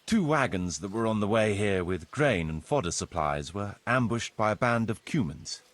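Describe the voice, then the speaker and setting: An actor playing a grave, pessimistic medieval steward who speaks formally in a video game. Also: male voice, on the low side, animated, normal speed, natural voice. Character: male medieval steward bringing counsel, gloomy and pessimistic. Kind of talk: video game cutscene acting.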